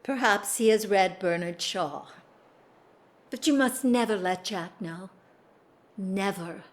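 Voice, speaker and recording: A woman's voice: medium pitch, lively intonation, takes it slowly. An actress playing a restrained, sorrowful upper-class married lady in a period audio drama, speaking formally and holding her feelings in. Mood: guarded, sympathetic. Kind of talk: stage-style period radio drama acting.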